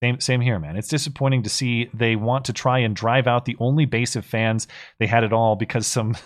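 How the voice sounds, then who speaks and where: Measured man co-hosting political talk. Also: mid-range voice, steady tone. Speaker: co-host of a political talk livestream. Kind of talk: casual political commentary, reading viewer messages aloud.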